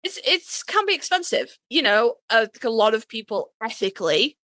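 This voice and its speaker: A woman's voice: fairly high pitch, very animated, normal speed, pushed and bright, no echo. A woman chatting casually as a podcast host, emphatic and swearing freely. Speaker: author.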